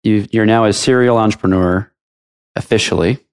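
A man's voice: fairly low pitch, fairly even intonation, normal speed, clean tone. A man hosting a podcast for entrepreneurs, asking questions with brief encouraging prompts. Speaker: podcast host. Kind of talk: interviewing a guest.

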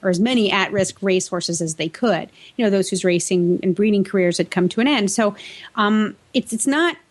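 A woman's voice: medium pitch, brisk. Chatty American woman co-hosting a podcast. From New England, United States. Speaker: podcast co-host. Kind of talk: casual co-host banter and chat.

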